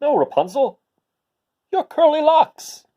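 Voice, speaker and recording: A man's voice: very high-pitched, very animated, takes it slowly, mellow, voice pushed up, exaggerated voice. Man acting a pleading, lovelorn storybook prince. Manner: calling upward, pleading. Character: young fairy-tale prince, lovelorn, pleading, increasingly exasperated.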